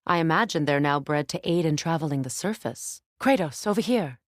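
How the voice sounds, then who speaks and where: A proud Norse warrior goddess from a video game. She is a stern, grieving mother with a commanding, measured delivery and dry wit. The sound is dry and free of room. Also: sits low, animated, dry recording. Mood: calm, dry.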